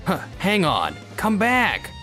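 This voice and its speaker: A man's voice: medium pitch, very animated, moderate pace, a bit bright, soft background music. Man playing a smug, swaggering office boss. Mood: disbelieving, pleading. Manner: smug, sneering, dramatic. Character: arrogant, boastful young male office boss, belittling and touchy. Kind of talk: animated manga dub dialogue.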